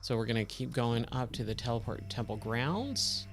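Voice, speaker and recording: A man with a slightly hoarse, scratchy voice giving casual, rambling game commentary and thinking aloud as he plays. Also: medium pitch, animated, normal speed, soft background music. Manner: rambling, self-questioning. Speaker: game streamer, host of a let's play.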